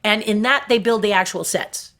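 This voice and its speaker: A middle-aged woman from Southern California with a deep voice, chatting about her puppetry work in an interview and laughing at her own stories. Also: normal speed, fairly bright tone. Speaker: puppeteer guest. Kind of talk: casual interview storytelling.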